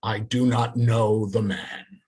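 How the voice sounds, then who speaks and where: A reader voicing a defensive man denying an accusation, with a raspy voice. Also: mid-range voice, level tone, slow, a little mellow. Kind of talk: dramatic scripture reading.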